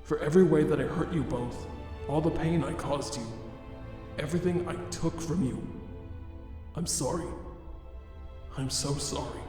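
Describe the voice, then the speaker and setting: A man's voice: on the high side, lightly acted. Man voicing a grave remorseful monster, cavernous reverb. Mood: anguished apology. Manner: formal, deliberate, grave wording. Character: remorseful, self-loathing adult male scientist monster, father-like. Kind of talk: dramatic fan comic dub. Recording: very echoey long reverb under music.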